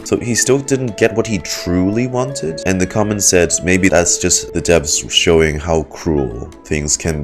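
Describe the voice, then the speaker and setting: A man giving casual, slangy, joking reaction commentary as he streams a game, with music behind him. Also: low voice.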